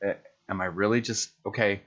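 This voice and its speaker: Guy on the low side playing a tabletop rpg, casual table talk, kinda muffled lofi mic. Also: fairly even intonation, a little fast, fairly bright tone. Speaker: player in a tabletop roleplaying game.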